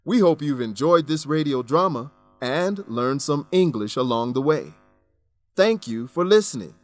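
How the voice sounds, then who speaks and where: A man narrating a scripted English lesson, enunciating deliberately as he explains words and grammar. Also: fairly high pitch, expressive.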